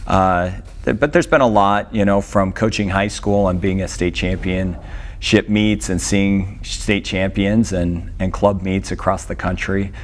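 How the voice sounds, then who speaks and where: A middle-aged man answers interview questions as a guest coach. His voice is fairly low, and he begins his thoughts hesitantly. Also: steady tone, unhurried, a little hiss or hum.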